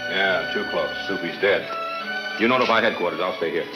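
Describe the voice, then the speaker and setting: A man's voice: low voice, brisk, natural voice, low-quality recording. An actor playing a tough, determined lawman in a 1940s crime serial, clipped and decisive. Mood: grim. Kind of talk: 1940s movie serial acting. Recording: 1940s film soundtrack, faint music.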